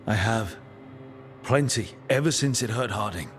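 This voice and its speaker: A man playing an earnest, level-headed hero in a cinematic fantasy game, speaking in a measured, wry, conversational way. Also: on the low side, expressive. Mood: concerned. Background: music.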